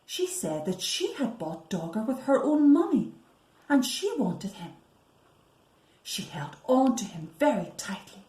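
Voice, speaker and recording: Slow-paced woman storyteller in a roomy space. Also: on the high side, very animated. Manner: slow, deliberate, often hushed for effect. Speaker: library storyteller. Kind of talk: expressive picture-book reading for young children. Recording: roomy, echoing sound.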